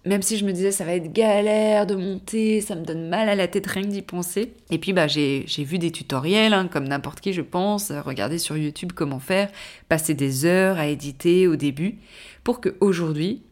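A woman's voice: fairly low pitch, normal speed, darkish tone. A woman hosting her own podcast, talking casually on her own and wandering off topic with lots of fillers and self-corrections while she has a cold. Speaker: podcast host. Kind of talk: casual solo podcast talk.

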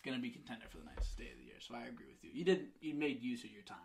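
A man's voice: mid-range voice, very animated, racing, vocal fry. A young man hosting a casual podcast chat, rambling and laughing often. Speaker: podcast host.

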